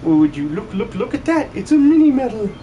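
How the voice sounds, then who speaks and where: A man voicing an excitable little game mascot creature, chirpy and hooting, that stutters and repeats words. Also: high-pitched, fairly quick, highs cut off, a little hiss or hum, pitched above the performer's own voice. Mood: jolly, excited.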